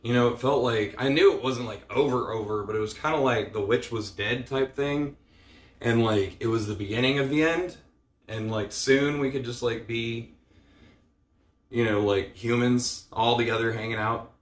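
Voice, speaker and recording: A man's voice: mid-range voice, normal speed. Weary man rambling in an echoey room. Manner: rambling, many fillers, frustrated and weary; swears casually. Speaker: vlogger. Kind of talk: casual personal vlog rant.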